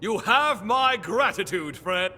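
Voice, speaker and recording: A man's voice: high voice, lively intonation, slow pace, bright, forceful tone, a little hiss or hum, full character voice. An actor playing a flamboyant, centuries-old nobleman in a video game, with a theatrical, courtly and pompous delivery. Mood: courtly, delighted. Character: flamboyant, noble, centuries-old immortal man, grandiose and devoted. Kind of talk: video game character acting.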